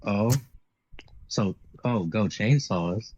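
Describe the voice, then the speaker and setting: A man's voice: on the low side, very slow, a little mellow, husky, exaggerated voice. A man voicing a haughty, scornful female devil in an amateur anime fan dub, recorded in dull lo-fi sound. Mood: scheming.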